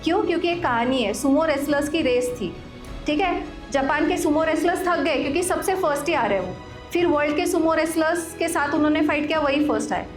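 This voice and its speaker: A woman in her forties, a wellness coach leading a live workshop, lively, questioning the audience and giving step-by-step instructions while mixing in English phrases. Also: high voice, brisk, music in the background. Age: 40s. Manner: mixes Hindi with English phrases. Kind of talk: live workshop talk to a group.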